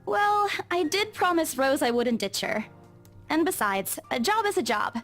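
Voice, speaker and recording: An actress playing an anxious, superstitious young real estate agent in a visual novel, with a British accent and a jittery, earnest manner. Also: female voice, high voice, sing-song, measured pace, a bit bright, faint music behind, heavily acted. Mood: casual, resigned.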